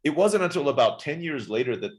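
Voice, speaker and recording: A man in his forties, born in the Philippines and raised in Turkey, chatting quickly as a podcast guest telling his life story. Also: fairly high pitch, sing-song. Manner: rapid, chatty, often saying "right?". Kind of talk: casual interview storytelling.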